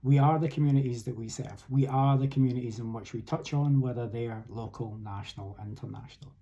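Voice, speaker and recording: A middle-aged man with a Scottish accent, giving an earnest, persuasive campaign address as a union election candidate, steady with an occasional "uh". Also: mid-range voice, brisk, creaky, lo-fi sound. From Scotland.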